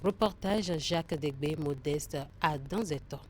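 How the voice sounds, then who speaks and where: A woman's voice: deep voice, slow pace, darkish tone, slightly rough. A woman presenting scripted television news.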